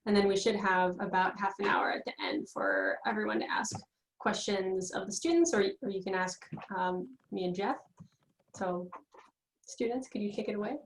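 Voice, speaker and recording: A woman's voice: mid-range voice, steady tone, normal speed, slight background noise, rough recording. A woman who is a professor, moderating a student panel in a friendly, organized way.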